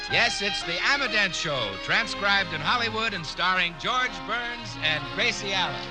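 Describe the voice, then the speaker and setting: A man's voice: high-pitched, expressive, normal speed, loud music behind the voice. A man announcing a 1950s radio show and its sponsor in an upbeat, emphatic way.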